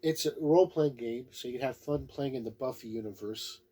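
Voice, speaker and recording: A man's voice: medium pitch, a little fast, dark tone, breathy edge. A man giving a casual, unscripted review in a folksy, plain-spoken, laid-back way. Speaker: tabletop game book reviewer.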